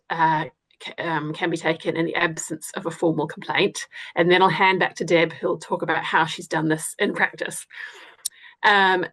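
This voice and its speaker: A woman with a New Zealand accent speaks in a slightly low register, enthusiastic and chatty. She presents as an academic researcher in a webinar, with plenty of ums and uhs. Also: a bit bright, low-quality recording. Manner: enthusiastic, chatty, many fillers.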